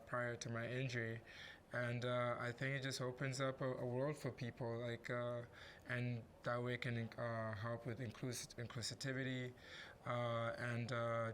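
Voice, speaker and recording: A man in his thirties from Rwanda, a wheelchair basketball athlete answering interview questions, halting with many fillers and restarts. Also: medium pitch, fairly bright tone, a little hiss or hum. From Rwanda, raised in southern Africa.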